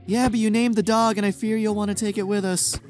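A man's voice: sits high, flat delivery, fast talker, a little mellow, soft background music, close to an everyday voice. A man voicing a bossy, pompous know-it-all scientist sidekick in a kids' gaming cartoon. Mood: brisk, stern. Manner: pompous, dramatic.

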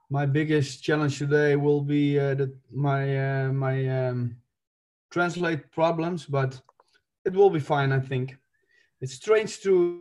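A man in his thirties with a Dutch accent, a football coach giving an online presentation from an attic room over a laptop mic, halting and searching for English words. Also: medium pitch, darkish tone.

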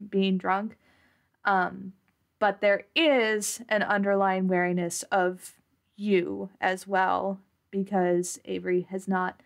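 A woman's voice: medium pitch, creaky. Woman playing a tabletop game, kinda hesitant, takes her time describing what her character does. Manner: hesitant, thinking aloud. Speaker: tabletop role-play player. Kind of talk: improvised character narration in a tabletop game podcast.

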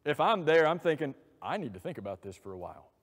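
Man preaching, middle-aged, raised pitch, animated rhetorical questions, church hall reverb, lapel mic. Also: quick pace, dull audio. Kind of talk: expository sermon. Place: church auditorium with pulpit.

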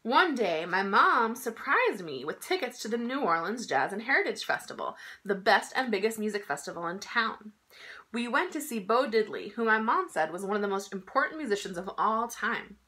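A woman in her thirties reading a children's picture book aloud in an expressive, emphatic storytelling style. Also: medium pitch, normal speed. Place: small indoor room at home.

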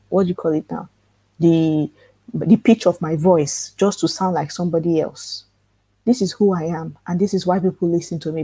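Soft-spoken woman coaching a live workshop. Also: on the low side, breathy edge, no echo, low-quality recording. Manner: earnest, repetitive coaching, asks rhetorical questions. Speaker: workshop host teaching authors. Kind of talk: live online workshop lecture. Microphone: computer mic in a live webinar.

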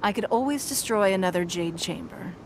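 A woman's voice: mid-range voice, expressive, a little fast, background noise, natural voice. A woman playing a composed, confident noble ruler in a video game, speaking formally and with authority. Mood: firm, confident. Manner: poised, formal, commanding. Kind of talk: scripted video game voice acting.

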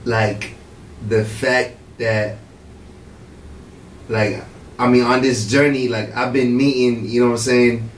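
A man's voice: medium pitch, slow pace, long pauses. A young man, a hip-hop artist talking casually in a radio studio interview, full of fillers and restarts. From Morocco. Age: 20s. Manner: relaxed, many fillers and restarts. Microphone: studio mic with headphones, heard from a far camera. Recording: roomy, distant camera audio with faint noise.

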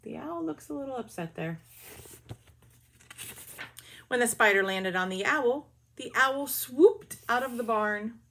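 Breathy woman reading picture books aloud. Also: a little high. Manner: lively, drawn-out storybook reading with soft asides. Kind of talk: children's read-aloud with comments. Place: small bedroom at home.

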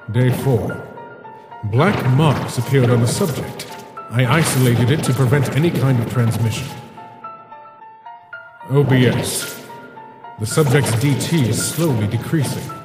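A man voicing an eerie, disdainful phantom scientist in an animated comic dub, speaking in a deliberate, clinical way. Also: medium pitch, close to an everyday voice. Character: eerie, dry-witted male phantom scientist companion. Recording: echoing reverb effect, music behind.

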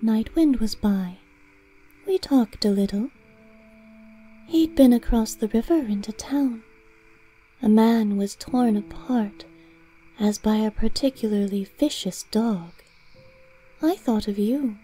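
A woman voicing a sly, poised female cat familiar in a storybook reading, coy and measured. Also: on the high side, warm tone, voice pushed up. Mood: coy, composed.

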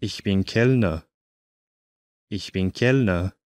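A man teaching a language course, saying each short phrase twice in slow, careful drills. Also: deep voice, clear, resonant tone, dry recording, clean studio sound.

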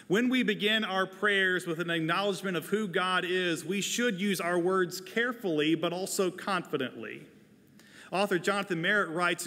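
An American pastor, a man in his forties, delivering a sermon in a measured, deliberate, emphatic way. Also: sits high, pushed and bright. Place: church sanctuary, at a pulpit. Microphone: lapel microphone. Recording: reverberant large church hall.

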